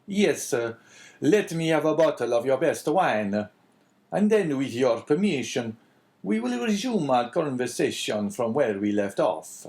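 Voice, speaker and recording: A man reading an audiobook, voicing a grave, searching priest with an Italian accent who asks measured, probing questions. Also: mid-range voice, sing-song, darkish tone, pitched above the performer's own voice. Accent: Italian, put on. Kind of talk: audiobook character voice.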